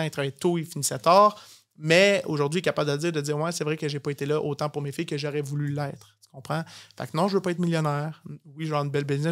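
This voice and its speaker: A young man with a Quebec French accent speaks in a slightly high voice at a rapid clip. He is informal and emphatic, tossing English slang into casual podcast conversation. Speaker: podcast guest, real estate agency owner.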